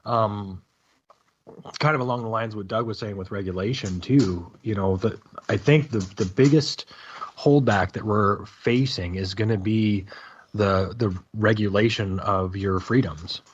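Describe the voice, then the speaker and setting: Male panel guest, informal and conversational, husky low tone, dull recording. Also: unhurried. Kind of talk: casual opinion talk.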